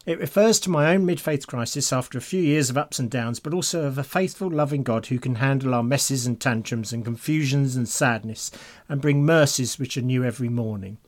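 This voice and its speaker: A man's voice: mid-range voice, fairly quick, hardly any pauses. A man co-hosting a podcast, chatting casually and reading out listener emails. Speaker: podcast co-host.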